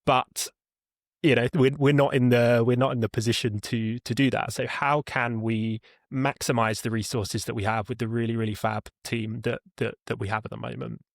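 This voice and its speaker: A man's voice: medium pitch, level tone, gravelly, no room sound. Man explaining conversationally in a dry recording. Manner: halting, with repeated words and self-corrections. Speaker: podcast guest, customer support leader.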